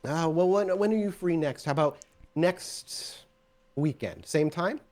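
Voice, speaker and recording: A man's voice: a little high, a little slow, darkish tone, pitched above the performer's own voice, close to an everyday voice. Casting: male game master as courteous, devout door-to-door preacher, hesitant and earnest, tabletop roleplay. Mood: hesitant, polite.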